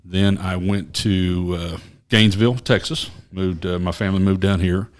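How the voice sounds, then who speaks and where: A man from Oklahoma with a very low voice tells his story as a podcast guest. He talks in a halting, rambling way, full of fillers and restarts. The talk is recorded in a small office studio. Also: steady tone. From Oklahoma, USA. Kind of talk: casual interview storytelling.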